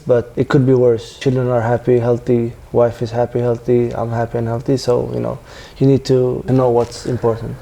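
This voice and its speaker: A man's voice: medium pitch, mellow. A man in his thirties who grew up in Sweden, a football player talking earnestly and reflectively in a sit-down interview on a lapel mic. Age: 30s. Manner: earnest, reflective, frequent restarts. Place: dim studio lounge with sofa.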